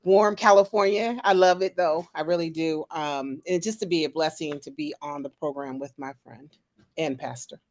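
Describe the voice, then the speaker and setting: A woman originally from the US East Coast introduces herself cheerfully on a video call. Her voice sits a little low. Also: normal speed. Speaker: guest.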